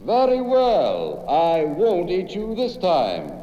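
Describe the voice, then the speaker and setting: A man's voice: high-pitched, huge pitch swings, slow, noisy background, exaggerated voice. An actor playing a vain, boastful male tiger in a storybook record, theatrical and sing-song. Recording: echoey, dull old record from a distance.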